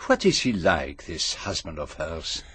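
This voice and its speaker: A man in a British radio drama playing a shrewd middle-aged Belgian detective, precise and quietly inquisitive. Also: low voice, huge pitch swings, measured pace, breathy edge, no echo, heavily acted. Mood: musing, pointed.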